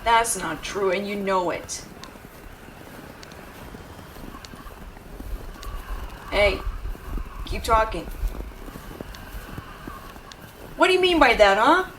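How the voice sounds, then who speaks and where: A woman's voice: medium pitch, sing-song, normal speed, a bit bright, recorded from a distance, a little hiss or hum, poor audio. A woman playing a brash but caring tsundere young woman in an intimate audio roleplay, scolding with tender worry underneath. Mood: urgent, tender. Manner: brusque, scolding, exasperated, with tender worried undertones. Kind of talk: intimate dramatic audio roleplay addressed to the listener.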